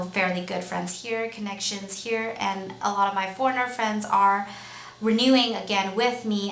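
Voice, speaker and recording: A young woman with a Canadian accent talking casually and unscripted to camera as a vlogger, rambling a little with hesitant pauses. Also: medium pitch, a bit bright. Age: young adult. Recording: echoey room with faint noise.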